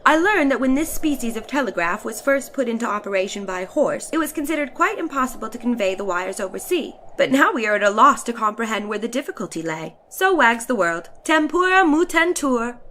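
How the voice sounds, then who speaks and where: Theatrical woman reader, slightly high voice. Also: big pitch swings, a little fast, hardly any pauses, bright, forceful tone, a little hiss or hum. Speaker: narrator of a classic short story. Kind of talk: dramatic audiobook reading of a satirical letter.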